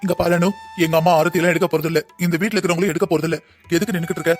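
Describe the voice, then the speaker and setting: A man's voice: on the high side, fairly even intonation, rapid-fire, faint music behind, close to an everyday voice. A man voicing a kind but stubborn young husband in a cartoon drama, plain-spoken and placating. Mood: firm. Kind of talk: Tamil cartoon drama dialogue.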